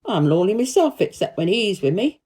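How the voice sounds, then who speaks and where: A woman's voice: mid-range voice, very animated, brisk, mellow, lightly acted. A woman voicing a surly, blunt old male gardener with a Yorkshire accent in a storybook reading. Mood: gruff, frank. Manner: blunt, gruff dialect speech.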